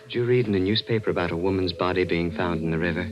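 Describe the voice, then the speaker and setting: Man acting a deep, brooding, sinister husband. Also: lightly acted. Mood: eerie, ominous. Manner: menacing, deliberate, theatrical. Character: sinister brooding husband, a self-proclaimed murder prophet. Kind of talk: 1940s radio mystery acting. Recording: muffled old radio recording with music.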